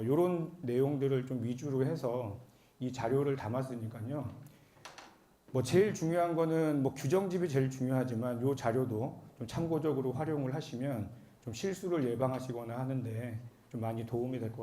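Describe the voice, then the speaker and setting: Guy with a cold presenting at a formal briefing, mellow dull-edged voice, polite and kinda halting. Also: medium pitch. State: has a cold. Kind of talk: formal explanatory seminar presentation.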